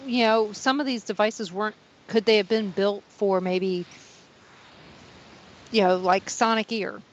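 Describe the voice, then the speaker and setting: A woman hosting a paranormal talk radio show, with a low voice for a woman, telling rambling stories and chuckling between them. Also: fairly even intonation, measured pace. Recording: dull lo-fi sound with faint hiss.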